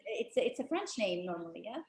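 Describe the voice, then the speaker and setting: A woman moderating a conference panel, chatty and gracious, often pausing with fillers. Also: medium pitch, sing-song, roomy sound. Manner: warm, chatty, many fillers.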